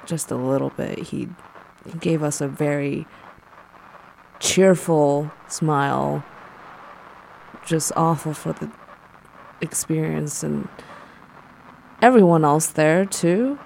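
Slow, gravelly deadpan woman playing a spooky-minded storyteller. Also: sits low, slight background noise, exaggerated voice, rough recording. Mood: deadpan, disappointed. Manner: deadpan, halting. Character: blunt, deadpan woman fond of spooky things. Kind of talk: naturalistic audio drama storytelling.

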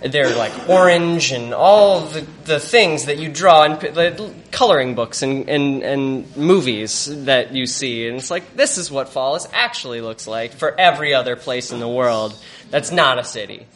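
A man telling a reflective personal story to a live audience, halting and searching for words. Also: medium pitch, animated, a bit bright. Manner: halting, reflective, many fillers and restarts.

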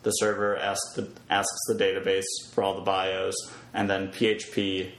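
Low-pitched man explaining in a roomy space. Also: monotone, normal speed. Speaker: podcast guest, web developer. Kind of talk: casual technical explanation in conversation. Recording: roomy echo, clear background noise.

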